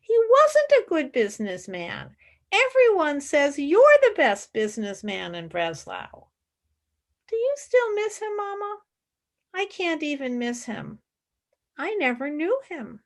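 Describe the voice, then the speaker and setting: A woman's voice: sits high, huge pitch swings, measured pace, exaggerated voice. A woman playing a blunt ten-year-old girl who persistently questions her mother in a radio play. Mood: defiant, wistful. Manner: childlike, probing.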